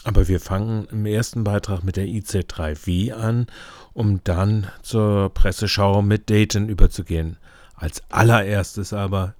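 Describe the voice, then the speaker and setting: A man announces a radio programme as its host. He speaks slowly in a fairly low voice, very dry and close. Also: mellow, no echo. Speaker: radio morning show host.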